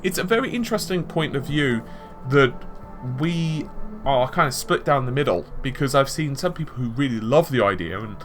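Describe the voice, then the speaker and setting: A man's voice: medium pitch, animated, moderate pace, a bit bright. A man giving conversational tech and gaming commentary, explaining his points with examples and asides. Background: background music.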